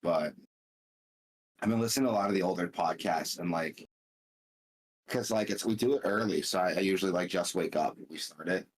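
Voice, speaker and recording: A young man co-hosting a podcast, talking in a low, groggy, somewhat flat voice and rambling with lots of "like" and swearing. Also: fairly quick, long pauses, breathy edge, rough recording. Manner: rambling, full of "like" fillers and swearing. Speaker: podcast co-host. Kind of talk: casual chat.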